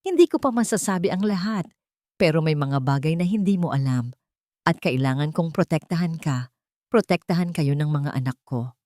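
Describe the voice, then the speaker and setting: A woman's voice: deep voice, animated, moderate pace, a little mellow, no echo, studio quality. A woman voicing an earnest, protective father in a story, speaking insistently and directly. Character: earnest, protective adult man, a repentant father.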